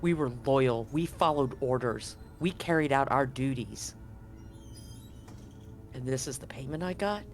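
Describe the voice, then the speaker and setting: A man's voice: mid-range voice, a little slow, pauses often, soft background music, close to an everyday voice. A man voicing a bitter, betrayed Marine, angry and accusing, confrontational and profane in a tabletop game. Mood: bitter, wounded. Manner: profane, confrontational, pleading with rhetorical questions.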